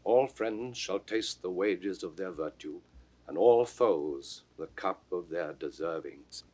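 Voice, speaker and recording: An actor playing a grave, dignified duke in a classical Shakespeare audio drama, with a husky voice and formal, stately declamation. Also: male voice, sits low, expressive, takes it slowly, a little mellow, full character voice. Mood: grave authority. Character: grave noble duke taking command, dignified, measured. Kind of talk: 1960s recorded Shakespeare drama, classical stage acting.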